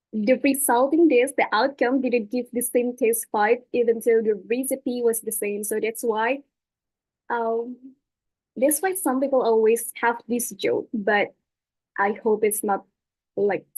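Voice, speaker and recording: A woman's voice: a little high, dry recording, rough recording. Young indonesian woman talking in a webinar, lots of uhs and restarts, dry close mic. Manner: hesitant, many fillers and restarts. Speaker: webinar speaker. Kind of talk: presentation with slides.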